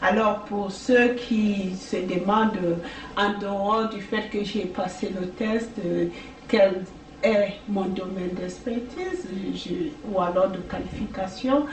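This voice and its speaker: A French-speaking woman tutoring for language exams in a casual video, talking slowly with many hesitations and fillers. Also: medium pitch. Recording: echoey room, distant mic, faint noise.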